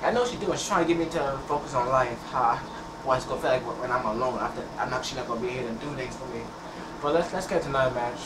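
A teenage man, rambling casually over gameplay and often restarting his words. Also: mid-range voice. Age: teens. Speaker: gameplay channel host. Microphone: headset mic. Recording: echoey, distant, noisy room sound.

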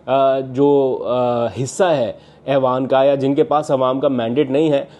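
Mellow-voiced young man vlogging. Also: on the high side. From Pakistan. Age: young adult. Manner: earnest analytical talk with frequent uh fillers and hand gestures. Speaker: political journalist. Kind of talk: political news vlog. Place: home living room, indoors. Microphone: lapel mic.

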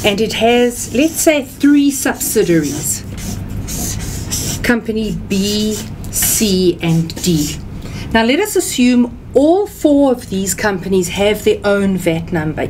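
A middle-aged woman with a South African accent gives an instructional presentation. She takes her time, with plenty of rise and fall in her pitch. There is noticeable background noise with a low rumble. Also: lively intonation, a little mellow, poor audio. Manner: measured, step-by-step, didactic. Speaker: tax seminar speaker and writer. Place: small indoor office or meeting room.

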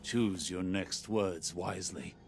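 A man's voice: low voice, slow, vocal fry, slight background noise, heavily acted. A man playing a calculating, authoritative superior, clipped and reproachful, in game dialogue. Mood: cool, stern. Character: stern, cool, calculating male spymaster superior. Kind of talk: dramatic video game dialogue. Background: loud music.

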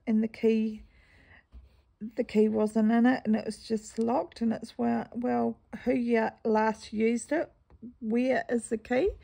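A croaky-voiced woman with a New Zealand accent, telling a meandering story while she stitches. She talks slowly in a gravelly, slightly high voice, pausing to clear her throat now and then. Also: dark tone. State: croaky morning voice. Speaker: cross-stitch vlogger. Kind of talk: casual chatty vlog while stitching.

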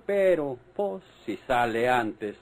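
A man's voice: medium pitch, sing-song, slow pace, slight background noise. An actor playing a menacing rural Mexican gunman who taunts and repeats himself, in old radio drama style. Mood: menacing, taunting. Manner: taunting, rustic, repetitive.

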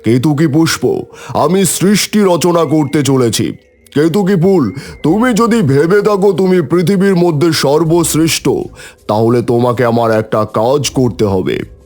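A man's voice: fairly high pitch, expressive, measured pace, darkish tone. A man voicing a proud, boastful creator god with a pompous, declamatory manner in a mythological cartoon. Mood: commanding.

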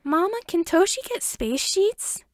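Lady doing a little kid in an audiobook, super high eager boy voice, sweet and childlike. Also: sing-song, a little slow, no echo, studio quality, exaggerated voice. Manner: childlike, short simple phrases.

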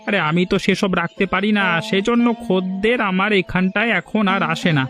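A man's voice: sits high, normal speed, music in the background, natural voice. A man voicing a humble, struggling village eatery owner and devoted father in a cartoon, plaintive and earnest. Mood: aggrieved. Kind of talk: Bengali village cartoon dialogue.

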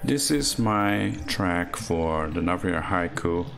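A man slowly talking through his modular synth patch over a screen recording, halting with fillers as he points things out. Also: deep voice, expressive, vocal fry. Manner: slow, halting explanation with many fillers. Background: synth music playing underneath.

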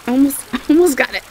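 Sweet, slow-talking Southern belle played by a woman. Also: high voice, background noise, full character voice. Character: warm young Southern belle girlfriend, cheerful and affectionate. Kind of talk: intimate roleplay audio acting.

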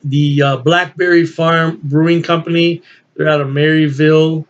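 A man's voice: fairly high pitch, flat delivery, moderate pace, dull audio. Folksy older man reviewing beer. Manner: chatty, folksy, chuckling to himself. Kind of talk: casual solo video review. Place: home office with beer memorabilia, indoors. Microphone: webcam at desk.

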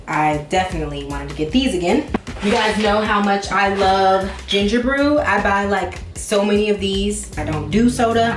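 Casual young woman vlogger, echoey room. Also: medium pitch. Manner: informal, slangy, playfully emphatic. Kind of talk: casual chat to viewers, grocery haul. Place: home kitchen, indoors. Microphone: camera a few feet away. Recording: echoey room, faint music behind.

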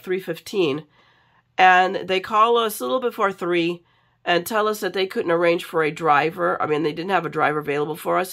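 A middle-aged American woman, sick and low on energy, chatting casually and rambling in a confiding way for a craft vlog. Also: creaky, a bit bright. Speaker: craft vlogger. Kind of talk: casual chat in an unboxing vlog. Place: indoors at a craft table.

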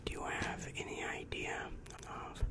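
A young man voicing a weary, exasperated demon guidance counselor in an ASMR roleplay, whispering breathily and close to the microphone with slow, repetitive, patronizing reassurance. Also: lightly acted. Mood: businesslike. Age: young adult. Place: small dim room indoors. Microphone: large microphone very close to mouth.

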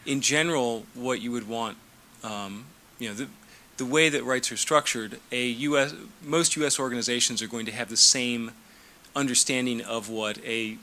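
Middle-aged male lawyer, bright voice, hesitant panel answers full of fillers. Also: medium pitch, fairly even intonation, normal speed, few pauses. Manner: hesitant, many fillers and restarts. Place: conference room. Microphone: handheld mic.

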